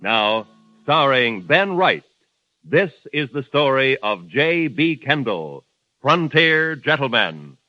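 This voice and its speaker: A man's voice: mid-range voice, big pitch swings, slow, sharp tone. A male announcer giving a formal, declaiming introduction to a 1950s radio western. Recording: dull lo-fi 1950s radio recording with music.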